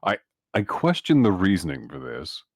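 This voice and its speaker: An extremely low-voiced man in a very dry-sounding room, discussing moves as a tabletop player. His delivery is highly animated, with slightly mock-formal wording. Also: normal speed, no room sound. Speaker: player in a tabletop roleplaying game. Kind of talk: casual discussion during a tabletop game.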